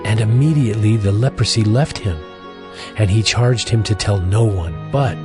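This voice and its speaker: A man's voice: on the low side, normal speed. A man narrating a dramatized Bible reading from a script. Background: music.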